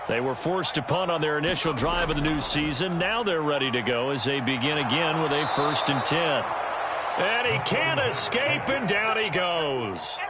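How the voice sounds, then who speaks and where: A man voicing an adult football play-by-play announcer in a video game, calling each play in a brisk, measured way. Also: fairly high pitch, lively intonation, heavy background noise, natural voice. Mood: steady calling. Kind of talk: video game sports commentary.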